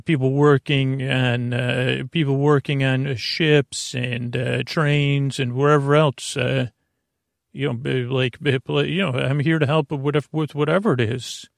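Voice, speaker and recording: A man hosting a bedtime podcast in a gravelly voice, rambling haltingly, interrupting himself and drifting off on tangents. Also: medium pitch, no echo, clean studio sound. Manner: meandering, halting, self-interrupting, tangent-prone. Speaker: podcast host.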